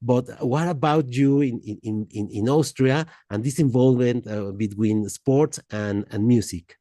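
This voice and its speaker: A man from Mexico, hosting a podcast interview in a halting way, full of fillers and restarts. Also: mid-range voice, warm tone, dry recording, studio quality. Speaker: podcast host. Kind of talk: casual interview chat.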